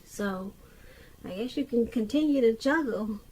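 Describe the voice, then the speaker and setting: A woman giving an unscripted tarot reading to the viewer, halting as she goes, pausing mid-sentence and repeating phrases. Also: fairly high pitch, a little mellow, husky, rough recording. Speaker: tarot reader.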